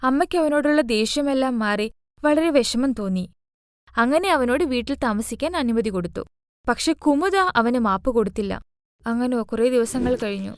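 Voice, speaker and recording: A woman's voice: fairly high pitch, animated, normal speed, no room sound, studio quality. A woman narrating a scripted moral story as the storyteller.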